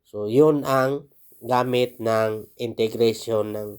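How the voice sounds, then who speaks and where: Male Filipino technical instructor, mid-pitched, unhurried and halting, informal tutorial delivery. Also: fairly even intonation, a little mellow, clean tone. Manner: halting, pausing mid-sentence.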